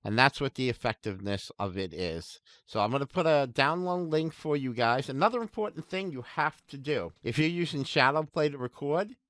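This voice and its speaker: A man's voice: mid-range voice, animated, normal speed, few pauses, a bit bright, no echo. A man hosting a tech channel, giving an informal tutorial demonstration straight to viewers and repeating his points. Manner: informal, repeats himself, addresses viewers directly. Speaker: tech and gaming channel host.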